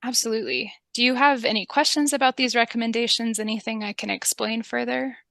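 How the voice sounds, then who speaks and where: A woman with a calm, polite and methodical manner, giving instructions in a scripted public health training video. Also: a little high, brisk, creaky, no echo, clean studio sound. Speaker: public health interviewer and narrator.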